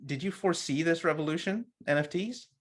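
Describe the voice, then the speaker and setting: A man's voice: a little high, fairly quick. A man hosting a podcast, asking questions in a casual, encouraging way. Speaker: podcast host and interviewer. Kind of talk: podcast interview.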